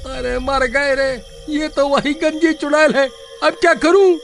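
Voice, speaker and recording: Man voicing a high-pitched panicky village coward. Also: measured pace, creaky, over music, exaggerated voice. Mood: panicked. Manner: excitable, whining, scolding. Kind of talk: cartoon horror-comedy voice acting.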